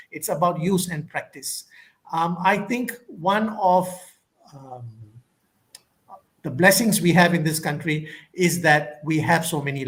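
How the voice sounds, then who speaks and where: Higher-voiced Malaysian man with many fillers. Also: a bit bright. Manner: thoughtful, many fillers and restarts. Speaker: conference speaker. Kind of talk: academic Q&A answer.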